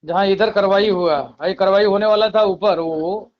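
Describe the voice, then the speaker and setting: A man's voice: high-pitched, fairly even intonation, normal speed. A man talking casually in a recorded phone conversation. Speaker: caller in a leaked phone recording. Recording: muffled recorded phone call.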